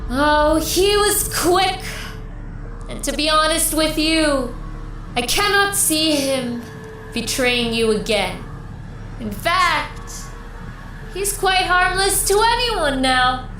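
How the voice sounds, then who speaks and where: An actress playing a gleefully sadistic female torturer in an audio drama, mockingly sweet and playful, with drawn-out, gloating delivery. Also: sits high, expressive, pushed and bright, exaggerated voice. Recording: echoey, music underneath. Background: music.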